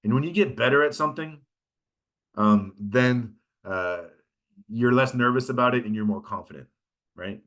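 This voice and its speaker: A man's voice: medium pitch, normal speed, fairly bright tone. A man with a Californian American accent giving an informal workshop lecture in a conversational way, often checking in with his listeners. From California, United States. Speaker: instructor giving a workshop on pitching.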